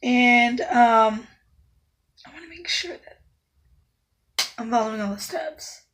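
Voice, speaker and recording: Raspy, hoarse woman giving makeup steps. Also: fairly high pitch, slow pace, a little hiss or hum. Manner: calm instructive, drifts to soft asides while working. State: hoarse, voice going in and out. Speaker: makeup artist. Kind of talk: step-by-step makeup tutorial talk-through.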